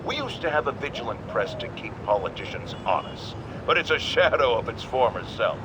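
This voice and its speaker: A man's voice: medium pitch, big pitch swings, a little fast, very noisy, natural voice. An actor playing an opinionated middle-aged talk radio host who lectures his listeners. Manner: opinionated, preachy, emphatic.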